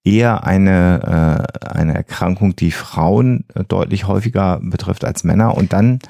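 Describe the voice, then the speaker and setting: A man explaining things as a podcast host in a halting way, with frequent hesitations. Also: low-pitched, vocal fry, warm tone. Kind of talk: explanatory science podcast talk.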